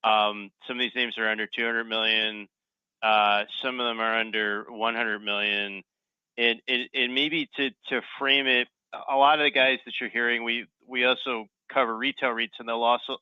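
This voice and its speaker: A man's voice: medium pitch, clear, resonant tone, no echo. A man asking questions conversationally and off the cuff as a financial analyst on a conference call. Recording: slightly dull, dry call audio.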